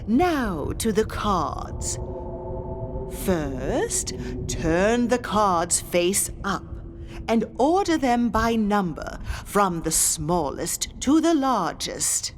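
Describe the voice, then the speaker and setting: An actress playing a haughty, scornful ghost medium who guards a haunted mansion, speaking in a theatrical, condescending monologue. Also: female voice, mid-range voice. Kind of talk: theatrical scripted monologue addressed to the listener.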